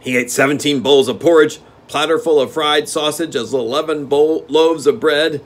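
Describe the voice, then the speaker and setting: A man voicing a gruff male gnome steward who growls and scolds his master bluntly. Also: on the high side, fairly even intonation, moderate pace, pitched above the performer's own voice, close to an everyday voice. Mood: gruff, scolding.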